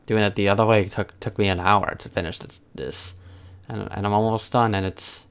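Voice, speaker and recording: Young male streamer, lowish and gravelly, halting self-talk while working at home. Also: steady tone. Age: young adult. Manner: halting, talking to himself. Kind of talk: casual livestream muttering while doing digital art. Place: small room at home, indoors.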